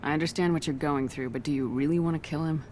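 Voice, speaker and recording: A woman playing a composed military commander in a video game, speaking in a firm, measured, direct way. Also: low-pitched, steady tone, background noise, natural voice. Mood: concerned. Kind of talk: video game dramatic dialogue.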